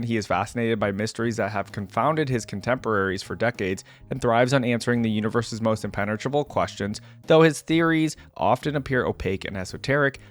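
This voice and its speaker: A man speaks as the host of a casual reaction video, at a middling pitch. He moves between reading text aloud and offering his own chatty, conversational commentary.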